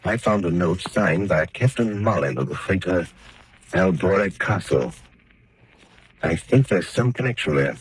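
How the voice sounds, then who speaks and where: An actor playing a calm, determined gentleman crime-fighter who speaks in a measured, steady way in the style of 1930s radio drama. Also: deep voice, slight background noise, low-quality recording.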